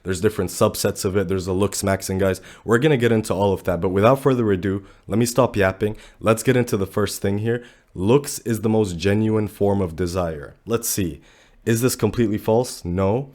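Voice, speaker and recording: A young man, a little sick and deeper-voiced than usual, giving blunt, animated dating commentary full of slang. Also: normal speed. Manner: blunt, animated, slangy, says bro a lot. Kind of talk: casual commentary to camera.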